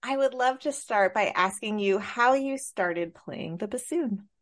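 Female podcast host, middle pitch, prepared intros and interview questions. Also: unhurried.

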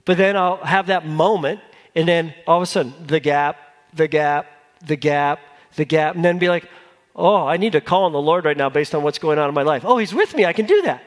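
High-voiced male pastor in a reverberant hall. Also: a little fast. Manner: informal, repeats phrases for emphasis, asks listeners questions. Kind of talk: conversational sermon. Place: large church hall with a congregation.

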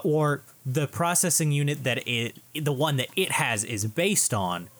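Man, podcast host, chatty, explanatory, mid pitch. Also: fairly bright tone. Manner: chatty, explains, steers talk back on topic. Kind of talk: casual podcast discussion.

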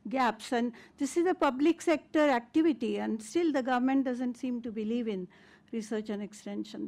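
A woman's voice: a little high, darkish tone. An older woman from India with an Indian accent, speaking as an invited expert in an informal, emphatic conference presentation. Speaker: invited discussant and agricultural economist.

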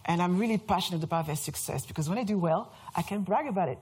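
French woman in her 30s giving a talk, kinda husky, lowish voice, jokes at herself a lot. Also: fast talker, a little mellow. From France. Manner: chatty and self-mocking, laughs often. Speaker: speaker presenting to an audience. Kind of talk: lively presentation talk. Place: event room before a live audience.

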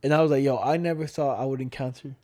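A man's voice: mid-range voice, fairly even intonation, fast, darkish tone. A young man with a smoky voice, co-hosting a podcast in casual chat, rambling with lots of fillers and slang. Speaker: podcast co-host.

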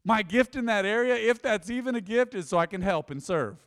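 A man preaching a sermon as pastor, animated and conversational, with frequent asides to the congregation. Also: high-pitched, fairly quick, long reverb tail. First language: English. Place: church.

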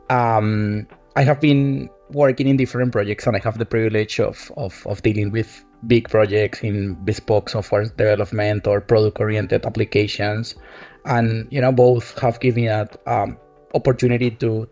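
A man's voice: lowish voice, darkish tone. A man speaking as a panel guest, hesitant and often repeating words as he gathers his thoughts. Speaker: guest, software engineering manager. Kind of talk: casual panel discussion.

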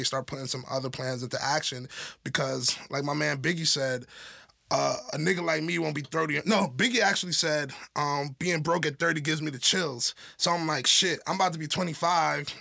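A man's voice: fairly high pitch, creaky, sharp tone, dry recording, clean studio sound. A man in his twenties co-hosting a podcast, chatting casually in a laid-back, slangy way full of "you know what I'm saying". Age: 20s. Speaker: podcast co-host. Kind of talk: casual chat.

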